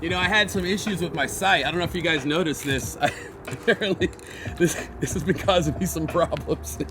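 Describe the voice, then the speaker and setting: Hoarse, laid-back graffiti guy. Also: a little high, a little fast, noisy background. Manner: laid-back, rambling, slangy. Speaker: graffiti artist and channel host. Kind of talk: casual how-to chat while painting. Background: hip hop backing beats.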